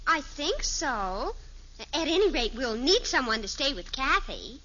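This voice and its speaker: Fickle, pleading teen daughter, high and swooping, 1950s radio comedy style, faintly noisy old recording. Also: female voice, big pitch swings, a little slow, bright, forceful tone, lo-fi sound, full character voice. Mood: coy. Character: dramatic, fickle teenage daughter in a family sitcom. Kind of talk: 1950s radio sitcom acting.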